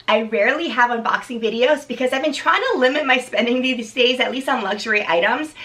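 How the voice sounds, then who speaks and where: A hoarse woman in her thirties talks her viewers through an unboxing, relaxed and chatty with plenty of "um"s. Her voice is bright and slightly high, with a gravelly edge. She sits a bit back from the microphone. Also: far from the mic. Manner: chatty, many restarts and "um"s. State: hoarse from a cold. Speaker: vlogger. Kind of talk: casual unboxing vlog.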